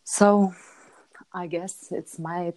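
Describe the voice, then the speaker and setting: A woman's voice: on the low side, steady tone, drawn-out words, darkish tone, poor audio. A middle-aged woman from Lithuania with a Lithuanian accent, answering interview questions casually, repeating words for emphasis and checking in with short tags. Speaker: interview guest, entrepreneur.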